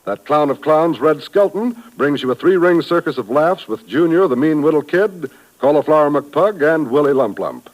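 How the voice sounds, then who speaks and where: A man working as a 1950s network radio announcer, reading promos and credits in a brisk, polished delivery. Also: on the high side, lively intonation, low-quality recording. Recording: vintage broadcast with faint music.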